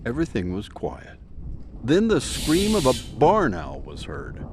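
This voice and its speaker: A man's voice: medium pitch, huge pitch swings, measured pace, noisy background. A man narrating a scripted audio drama in a dramatic storytelling manner. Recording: prominent music behind narration.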